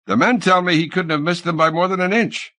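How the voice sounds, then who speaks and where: A man's voice: sits high, brisk, sharp tone, voice pushed up. A man voicing a plain-spoken, deferential ship's steward in an audiobook, answering in a respectful, matter-of-fact way. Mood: earnest.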